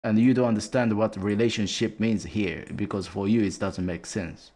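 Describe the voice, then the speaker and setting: A young man hosting a casual streamed study session, talking hesitantly with many fillers and soft laughs. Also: fairly low pitch, steady tone, normal speed. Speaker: stream host leading a language study session.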